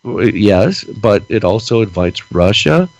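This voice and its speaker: A man's voice: fairly low pitch, sing-song, a little slow, darkish tone, low-quality recording. A man co-hosting a casual political podcast, ranting with lots of repeated words while losing his voice and stuffy-nosed. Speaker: podcast co-host.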